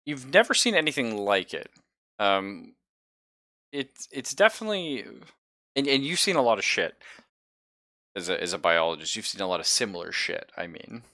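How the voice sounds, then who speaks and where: A man narrating a tabletop sci-fi horror game as game master, halting as he thinks aloud. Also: on the low side, sing-song, pushed and bright, dry recording, studio quality.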